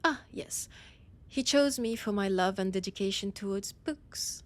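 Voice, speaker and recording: An ancient female vampire scholar with a French accent, played by a woman in a roleplay audio drama. She talks slowly and deliberately at a middling pitch, often sinking into a breathy whisper. Her manner is proud, teasing and predatory. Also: lightly acted. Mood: fond, amused.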